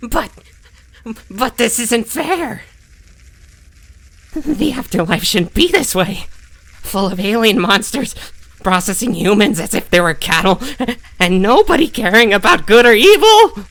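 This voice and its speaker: A woman voices a tormented lost soul in a comic-book dub. The high voice is rough and gravelly, pleading with melodramatic strain. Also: expressive, measured pace, pauses often, a bit bright, full character voice, poor audio. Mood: indignant, despairing. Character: anguished damned soul, newly arrived in hell.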